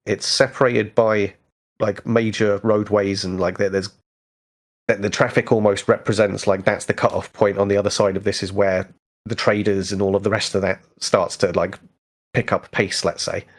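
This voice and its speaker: A man co-hosting a podcast, talking casually and tentatively as he reasons out loud about worldbuilding. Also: on the low side, flat delivery, moderate pace. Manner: tentative, thinking aloud. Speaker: podcast co-host.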